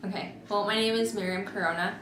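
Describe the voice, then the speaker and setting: A woman in her twenties, born in Mexico and raised in Oregon, telling her family's story in an interview, slow and thoughtful with many pauses. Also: medium pitch, fairly even intonation, roomy sound, background noise. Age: 20s. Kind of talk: oral history interview.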